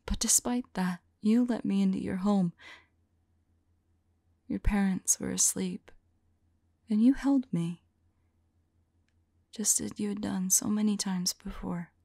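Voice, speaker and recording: Slow, whispering female vampire, played by a woman. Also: medium pitch, no echo, studio quality. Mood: haunted, sorrowful. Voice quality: soft, breathy whisper. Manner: slow, halting, tender delivery. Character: remorseful, lovelorn female vampire confessing to reincarnated soulmate. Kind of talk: ASMR roleplay, intimate whispered dramatic monologue.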